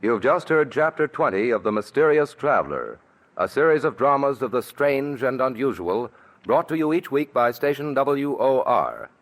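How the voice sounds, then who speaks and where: A man's voice: mid-range voice, big pitch swings, moderate pace. A male radio announcer reading the closing credits and schedule in formal scripted style. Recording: old radio broadcast with faint noise.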